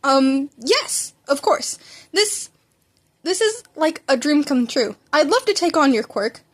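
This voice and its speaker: A woman's voice: high-pitched, animated, slow, voice pushed up. A woman voicing an earnest, self-doubting teenage boy who rambles hesitantly and talks back, in a fan fiction audiobook. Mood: eager, flustered. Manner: hesitant rambling with sarcastic retorts.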